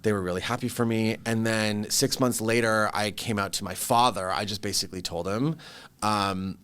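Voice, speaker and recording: Male, around 40, from Vancouver area; talkative guest, self-deprecating, quick to laugh. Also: on the low side, fairly even intonation, fairly bright tone, clear, resonant tone. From Canada (Vancouver area). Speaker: podcast guest. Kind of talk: casual interview chat.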